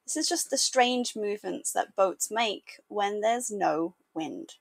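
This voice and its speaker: A woman's voice: medium pitch, lively intonation, takes it slowly, gravelly, husky, no room sound. A woman in her thirties narrating a sailing vlog, telling the week's story with upbeat asides. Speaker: sailing vlogger. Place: boat cabin with wooden panels.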